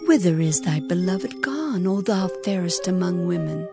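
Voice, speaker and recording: A woman's voice: on the low side, animated, moderate pace, gravelly. A woman playing an inquisitive companion of a bride in a dramatized scripture reading, questioning and theatrical, with music behind. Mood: curious questioning. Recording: music under the voice.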